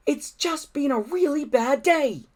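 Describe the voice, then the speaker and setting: Man acting a high, whiny, anxious Viking boy. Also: animated, measured pace, darkish tone. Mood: despairing complaint.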